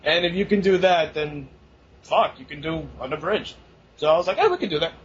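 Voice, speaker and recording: A young man with a fairly high voice co-hosts a casual podcast, rambling and laughing. The recording is dull and lo-fi, with a faint hiss under it. He swears freely as he talks. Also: sing-song, fairly quick. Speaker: podcast co-host. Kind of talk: casual chat.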